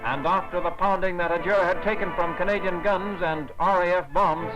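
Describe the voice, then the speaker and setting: A man's voice: high voice, fairly even intonation, fairly quick, highs cut off. A man narrating a scripted wartime newsreel from the 1940s in a formal, declamatory manner, on an old soundtrack. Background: loud music.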